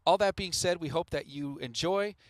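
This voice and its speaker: A man's voice: a little high, moderate pace, no echo, studio quality. A man hosting a radio theatre show, making intermission announcements to the listeners. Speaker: radio play host.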